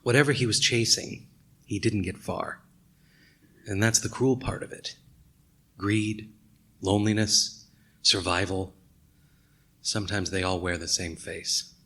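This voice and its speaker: A man playing a brooding, philosophical detective in a noir radio mystery, speaking in a deliberate, musing way. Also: lowish voice, steady tone, bright, forceful tone, full character voice. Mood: brooding, measured.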